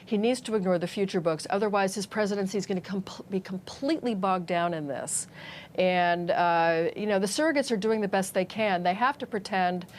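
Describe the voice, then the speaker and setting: A woman's voice: medium pitch, fairly quick, a little hiss or hum. A woman giving live political analysis as a guest on a news panel.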